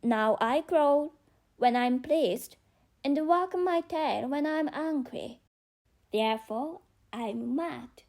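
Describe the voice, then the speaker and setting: A high, unhurried, playful cat from a children's storybook, acted out by a woman. It riddles and teases in deliberate phrases, with a non-native English accent and a touch of madness. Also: a little mellow. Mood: playful, matter-of-fact. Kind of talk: audiobook character reading.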